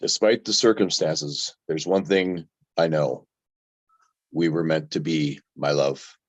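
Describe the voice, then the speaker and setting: Very deep telenovela leading man, acted by a man. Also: fairly even intonation, slow pace, no room sound, full character voice. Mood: romantic, grave. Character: suave, romantic telenovela leading man, forty. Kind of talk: table read of a TV script.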